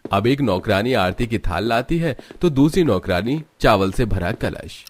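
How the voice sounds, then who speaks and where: A man's voice: lowish voice, expressive, unhurried, dry recording. A man reading scripted storybook narration for a cartoon tale.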